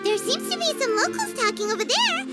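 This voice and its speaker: A woman voicing a tiny, excitable floating fairy companion in a video game, chirpy and talking about herself in the third person. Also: very high-pitched, a little fast. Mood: excited. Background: game score music.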